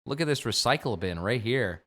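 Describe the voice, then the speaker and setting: Brief: man in his 20s, game streamer, joking late-night banter, very animated pitch, loud outbursts. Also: normal speed. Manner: playful, jokey, swings from mumbling to sudden shouts. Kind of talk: late-night livestream chat.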